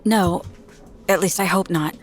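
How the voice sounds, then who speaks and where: A woman's voice: lowish voice, measured pace, natural voice. A woman playing an anxious, secretive young woman in an audio drama, hesitant and earnest. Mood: guarded, earnest.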